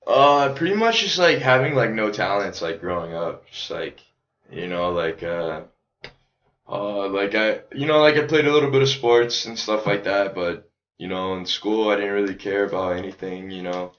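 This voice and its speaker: An American man from Chicago talking casually as a podcast guest, in a loose, halting way peppered with "like". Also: lowish voice, fairly bright tone. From Chicago, United States. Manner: loose, halting, heavy use of "like". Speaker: podcast guest, independent filmmaker. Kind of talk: casual conversational chat. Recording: echoey room, dull lo-fi audio.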